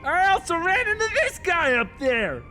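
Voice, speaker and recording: A woman's voice: very high voice, sing-song, normal speed, over music. A woman playing an eager, oblivious crypt minion in a comic horror audio drama, chirpy and blithely unbothered. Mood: chipper, oblivious.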